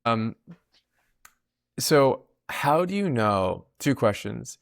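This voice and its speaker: Man, podcast host, low gravelly voice, probing questions, step by step, dry close sound. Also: very animated, very slow, no room sound. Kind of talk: interviewer asking questions.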